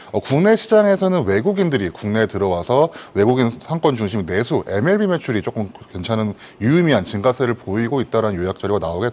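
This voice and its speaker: A man's voice: mid-range voice, a little mellow. A man giving formal, explanatory stock commentary as a guest analyst on a broadcast. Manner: explanatory, formal polite phrasing.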